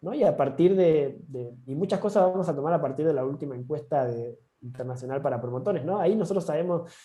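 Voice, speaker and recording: A man's voice: fairly high pitch, fast, warm tone. A man with an Argentine accent giving an online training session, talking informally with frequent restarts. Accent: Argentine Spanish. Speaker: course instructor.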